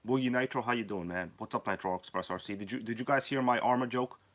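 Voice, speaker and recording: A man's voice: mid-range voice, fast talker. A man hosting a casual live stream while sick with a cold and nearly losing his voice, joking around and greeting viewers by name.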